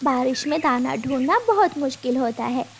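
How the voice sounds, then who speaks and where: Gentle mama bird for a kids cartoon, high voice, storytelling, slight background noise. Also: female voice, moderate pace. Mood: earnest, reminiscing. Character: mother bird, gentle storyteller recalling her hardships.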